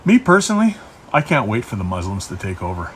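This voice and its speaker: A man's voice: lowish voice, wildly swooping pitch, fast, background noise. A man ranting in a mocking, sarcastic manner.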